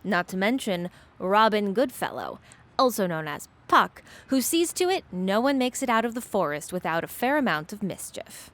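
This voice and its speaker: A woman's voice: mid-range voice, big pitch swings, moderate pace, a bit bright. A woman reading scripted narration to introduce a story, as the narrator of an audio drama.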